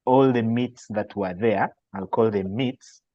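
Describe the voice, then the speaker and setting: A man's voice: lowish voice, unhurried, no room sound. A Ugandan man speaking as a podcast guest, reflective and earnest, often restarting his sentences. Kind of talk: casual reflective talk. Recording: dry, dull lo-fi call audio.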